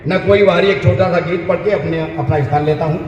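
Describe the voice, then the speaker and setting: A male poet from India introducing and then reciting a village poem on stage in a slow, melodic chant, repeating each refrain. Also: on the high side, fairly even intonation, crowd noise. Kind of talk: sung poetry recitation on stage. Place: outdoor festival stage. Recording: echoey dull hall sound from far off.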